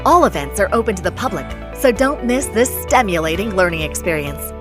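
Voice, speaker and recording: A woman's voice: medium pitch, very animated, brisk. A woman reading a scripted promotional voice-over for an advert. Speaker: advert announcer. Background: music.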